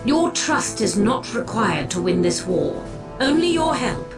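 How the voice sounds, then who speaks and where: An actress playing a solemn, commanding alien war seer in a video game, speaking gravely and formally with theatrical weight. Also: female voice, fairly high pitch, very animated, measured pace, music in the background. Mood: stern. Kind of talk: dramatic video game voice acting.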